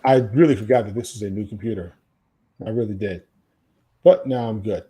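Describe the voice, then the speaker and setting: A man's voice: fairly low pitch, brisk, warm tone, husky. American man chatting, repeating himself. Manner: repeats his phrases. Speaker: podcast co-host. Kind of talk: casual music chat.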